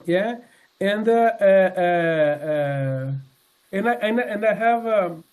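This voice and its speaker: Man, 60s, high voice, earnest testimony, halting, lots of fillers and restarts. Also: expressive, a little mellow. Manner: earnest, sincere. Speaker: member giving a testimony. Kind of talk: personal testimony talk with slides.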